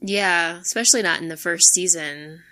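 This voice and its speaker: A woman co-hosting a podcast in casual chat, agreeable and quick to laugh. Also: on the low side, bright, forceful tone. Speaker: podcast co-host.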